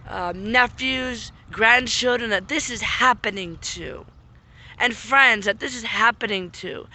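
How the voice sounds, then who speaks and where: A man who is losing his voice and getting sick, making an earnest, repetitive spoken appeal and often clearing his throat. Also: sits high, pushed and bright. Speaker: vlogger making a public appeal. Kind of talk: unscripted appeal to camera.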